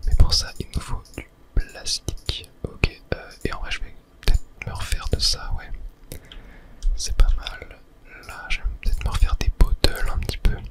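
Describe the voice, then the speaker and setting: A person whispering ASMR game commentary, thinking aloud to viewers with hesitant pauses. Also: low-quality recording. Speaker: gaming video host.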